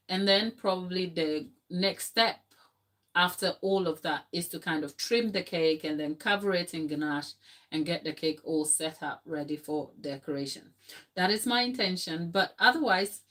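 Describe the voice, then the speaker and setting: Casting: middle-aged Ghanaian-accented woman, non-native speaker, slightly low voice, informal live-stream instructor talking viewers through each step. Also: a bit bright. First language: not English. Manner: explanatory, chatty, addressing viewers. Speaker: cake decorating instructor. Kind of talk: casual live-stream tutorial. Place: home kitchen, indoors.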